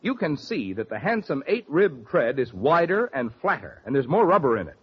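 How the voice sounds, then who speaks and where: A man's voice: mid-range voice, breathy edge. A man working as a 1940s radio announcer, reading advertising copy in a polished, emphatic way. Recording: dull vintage radio recording.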